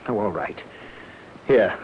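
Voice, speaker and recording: An actor playing a defiant, evasive pub regular suspected of murder in an old-time radio drama. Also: male voice, fairly low pitch, takes it slowly. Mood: defensive, nervous. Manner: defensive, evasive. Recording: noisy, dull vintage radio recording.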